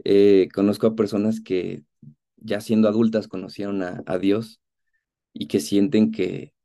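A man of about thirty with a Mexican accent, giving an earnest, conversational personal testimony in a halting way over a video call. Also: lowish voice, level tone, vocal fry, mellow. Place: indoor room at home, on a video call.

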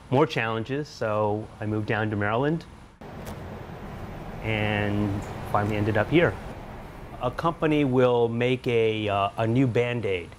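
A man's voice: on the low side, a little hiss or hum. A middle-aged man, a research scientist who grew up in the US, talking casually about his lab work with frequent fillers and restarts. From Taiwan, grew up in the US. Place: indoor laboratory.

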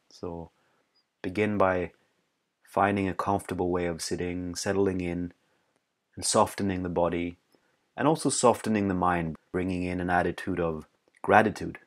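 A man leading a guided meditation as a teacher, calm and unhurried, pausing between short, soothing phrases. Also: deep voice.

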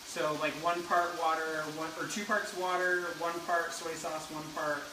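A man's voice: a little high, fairly even intonation, measured pace, far from the mic, noisy background. A young man demonstrating a recipe on a home cooking show, laid-back and rambling with lots of fillers. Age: young adult. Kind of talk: casual cooking demo. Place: home kitchen. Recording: roomy, a bit off-mic.

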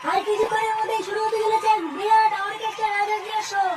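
A performer voicing a bossy young male club organiser in a cartoon comedy, giving brisk orders. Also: extremely high pitch, completely flat delivery, far from the mic, loud music behind the voice, over a noisy crowd. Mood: announcing.

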